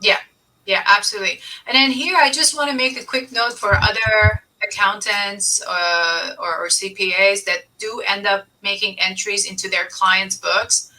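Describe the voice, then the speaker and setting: A woman's voice: medium pitch, steady tone, moderate pace, sharp tone, far from the mic, poor audio. A woman hosting a business advice podcast, explaining things emphatically and repeating key points. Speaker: podcast host and accountant.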